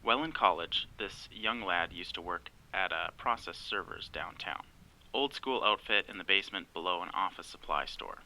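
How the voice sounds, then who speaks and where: An actor playing a sardonic, bossy best friend in a naturalistic audio drama, wry and exasperated. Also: male voice, low-pitched, moderate pace, sharp tone, exaggerated voice, rough recording. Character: sardonic, bossy male best friend named Larry, cellphone company worker.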